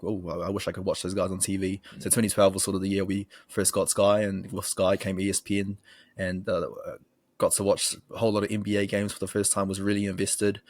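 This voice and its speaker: Low, flat-pitched New Zealand man. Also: no echo, clean studio sound. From Auckland, New Zealand. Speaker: podcast host, sports journalist. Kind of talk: casual podcast chat.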